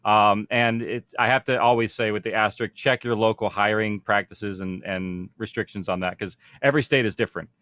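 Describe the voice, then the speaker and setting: A man's voice: on the low side, steady tone, fairly quick, hardly any pauses, fairly bright tone, no echo. A man speaking informally as a panel host, explaining things in a conversational way. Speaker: panel host, audio production producer.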